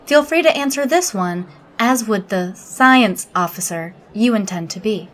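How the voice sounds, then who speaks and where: A woman playing a logical alien officer in an audio drama, interviewing in a formal, measured, reserved manner. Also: mid-range voice, animated, a bit bright.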